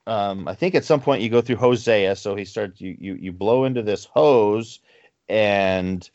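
A man's voice: on the low side, unhurried, darkish tone, no echo. A man in his forties co-hosting a podcast, chatting casually in a rambling way and chuckling between asides. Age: 40s. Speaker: podcast co-host. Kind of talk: casual chat. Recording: slightly muffled podcast recording.

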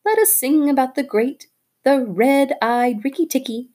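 A woman voicing an excitable, boastful little male songbird, high and sing-song. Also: a little slow. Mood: triumphant. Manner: shrill sing-song gushing.

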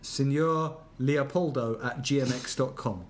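A man's voice: medium pitch, measured pace. A man co-hosting a podcast in casual comedic chat, reading out emails with amused, mocking asides. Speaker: podcast co-host.

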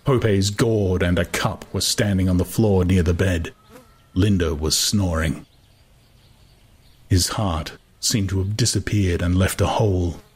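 A man narrating a literary audiobook in a dramatic, intense way, with deliberate phrasing. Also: low-pitched, fairly even intonation, slightly rough.

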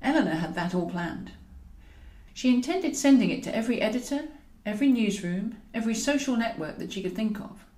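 A British woman narrating a comic novel in a wry, expressive way, as a scripted audiobook reading. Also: on the high side, brisk. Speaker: author and narrator. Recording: roomy, echoing voice recording.

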